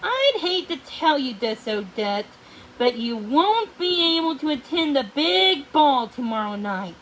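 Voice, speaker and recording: A woman's voice: sits high, sing-song, a little slow, fairly bright tone, slight background noise, full character voice, low-quality recording. A woman voicing a menacing, boastful male sorcerer, the villain of a fairy-tale storybook. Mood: smug.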